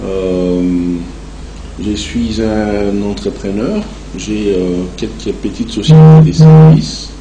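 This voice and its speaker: Slow, low-voiced Congolese man in echoey room. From Democratic Republic of the Congo. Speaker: interview guest, entrepreneur and association president. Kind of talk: television interview, answering at length. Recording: echoey room, clear background noise.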